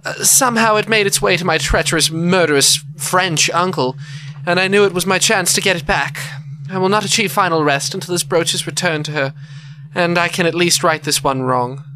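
An actor playing an ashamed, regretful father, speaking earnestly and haltingly in an audio drama. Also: high voice, crowd noise, close to an everyday voice. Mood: remorseful. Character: ashamed, regretful father confessing to his child.

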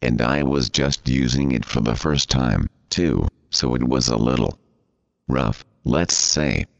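A synthetic computer voice playing a formal, easily flustered male interviewer, very deep and gravelly. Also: measured pace, exaggerated voice. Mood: formal, dry. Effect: computer text-to-speech voice. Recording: dull, muffled audio.

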